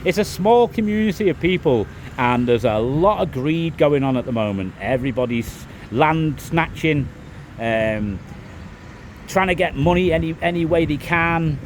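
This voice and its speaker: Softened Scouse man rambling to camera. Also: on the high side, expressive, normal speed, slight background noise. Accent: Liverpool, softened. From England. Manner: rambling, frequent restarts and "it is what it is". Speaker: vlogger, property developer. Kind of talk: casual monologue to camera.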